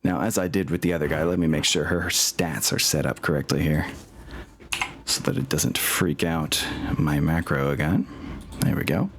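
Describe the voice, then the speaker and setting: A man's voice: very deep voice, moderate pace, slight background noise. A man running a tabletop game as game master, narrating casually and swearing now and then.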